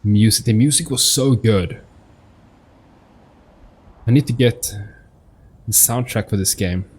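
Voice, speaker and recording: A man hosting a gaming video with informal, enthusiastic, somewhat halting commentary in a husky voice. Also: lowish voice, darkish tone. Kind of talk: casual let's-play commentary. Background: game music.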